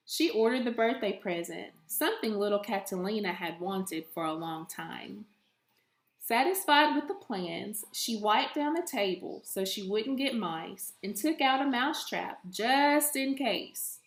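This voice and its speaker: A woman reading a children's storybook aloud with deliberate, expressive phrasing, like a teacher with a young class. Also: medium pitch. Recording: echoey room.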